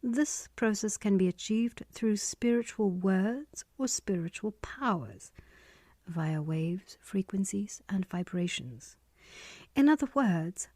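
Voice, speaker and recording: Slow, soft-spoken woman reading aloud. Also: medium pitch, no echo, studio quality. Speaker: reader. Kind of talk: reading a spiritual text aloud.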